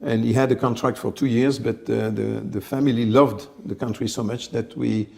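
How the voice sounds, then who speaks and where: A man, telling his life story in an interview, measured and reflective, often pausing to find his words. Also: mid-range voice, fairly even intonation, gravelly, darkish tone. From France. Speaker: company head, interview guest.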